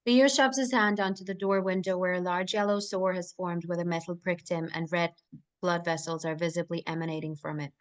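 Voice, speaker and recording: A woman reads out stage directions from a screenplay. Her voice sits a little low. The delivery is scripted narration, as at a live table read. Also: fairly even intonation, fairly quick.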